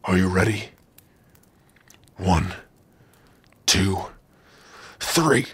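A man playing a big, gruff orc with a rough, husky voice, teasing yet secretly soft-hearted, in an ASMR roleplay. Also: sits low, very slow, heavily acted. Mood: gruff, commanding. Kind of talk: ASMR roleplay addressed to the listener.

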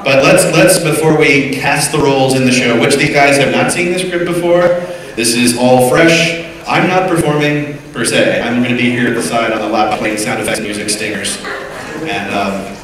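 Echoey American man hosting a live panel. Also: medium pitch. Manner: slangy, informal, rambling. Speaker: panel host. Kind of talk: casual, joking live panel introduction. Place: hotel conference room before an audience. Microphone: handheld PA mic, captured by distant camera. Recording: very echoey, distant, busy crowd behind. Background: audience crowd.

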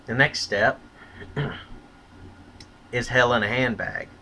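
A man's voice: medium pitch, very slow, sharp tone, slight background noise. A man delivering a blunt, profane, contemptuous opinion rant as a solo vlogger.

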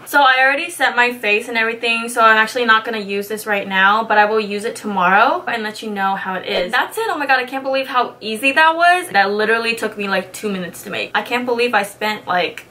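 Bright-voiced bubbly young woman vlogger. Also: fairly high pitch. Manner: bubbly, slangy, swears casually. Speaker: beauty vlogger. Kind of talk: casual chatty tutorial. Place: small indoor studio, grey backdrop.

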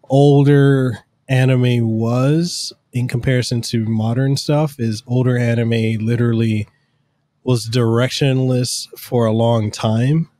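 A man's voice: medium pitch, a little mellow, no echo. A man co-hosting a podcast, talking casually and rambling through long explanations with fillers, on a studio mic at home. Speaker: podcast co-host. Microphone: studio mic on arm, headphones.

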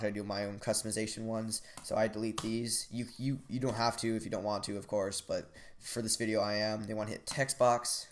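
A young man hosting a tech channel, talking through a software tutorial casually, with lots of ums and restarts, recorded on a desk webcam in a small room. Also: lowish voice.

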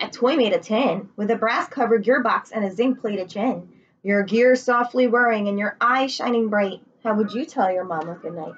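A woman's voice: medium pitch, a little hiss or hum. A young woman reading a children's picture book aloud, expressively, putting questions to her young listeners. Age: young adult. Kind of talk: children's read-aloud with asides. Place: living room, indoors. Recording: slightly dull webcam sound.

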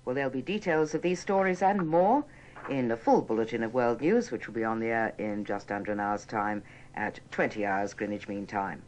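A woman's voice: extremely low pitch, normal speed, darkish tone, slight background noise. A British woman working as a live radio announcer, politely apologetic as she ad-libs her links. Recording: dull archive radio recording.

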